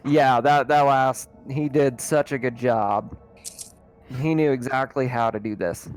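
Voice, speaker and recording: Casual male game master, mid-pitched. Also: a little slow, darkish tone. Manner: informal, conversational. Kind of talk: casual improvised tabletop game narration.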